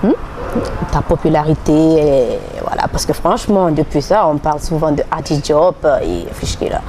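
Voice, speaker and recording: A woman's voice: low voice, expressive, moderate pace. A woman interviewer, asking teasing, probing questions in casual talk. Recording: outdoor recording, traffic noise behind.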